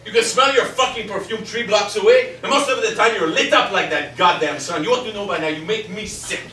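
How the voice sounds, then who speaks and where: A man's voice: mid-range voice, lively intonation, moderate pace, sharp tone. A man playing a crude, mocking boyfriend who needles his partner in a stage drama. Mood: scornful. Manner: taunting, crude, showy. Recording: echoey room, distant mic, faint noise.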